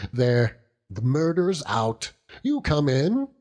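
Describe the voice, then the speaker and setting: A man's voice: a little high, sing-song, drawn-out words, a little mellow, exaggerated voice. A hearty, chuckling old seaman. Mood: jubilant. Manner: chuckling, rambling, dialect-heavy storytelling with exclamations. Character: hearty old working-class seaman uncle, doting and overjoyed.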